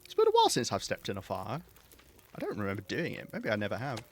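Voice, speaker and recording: A man with a southern English accent, chatting casually and self-mockingly while streaming a game, with a calm, soothing voice. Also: fairly low pitch, fast. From southeast England. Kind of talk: casual gameplay chat.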